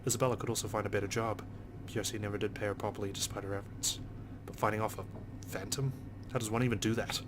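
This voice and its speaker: Low-pitched man, quick pace, sardonic game narration, faint background noise. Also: little pitch movement. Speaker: let's play narrator. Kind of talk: reading a visual novel aloud in character.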